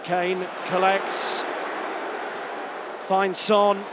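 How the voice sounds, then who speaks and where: A man giving excited, emphatic live football match commentary as a commentator. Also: high-pitched, extremely slow, heavy background noise. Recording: broadcast over crowd roar and music. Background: stadium crowd, music.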